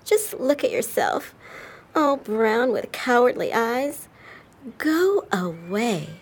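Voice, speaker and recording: A man voicing a vain, spoiled female kitten in a storybook for learners, talking in a haughty, drawn-out sneer. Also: very high voice, very animated, pitched above the performer's own voice, heavily acted.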